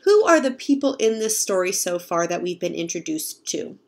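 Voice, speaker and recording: A young woman reading a picture book aloud to children in an expressive, explanatory way. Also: fairly low pitch, normal speed, gravelly. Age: young adult. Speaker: read-aloud storyteller, teacher. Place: home kitchen, indoors.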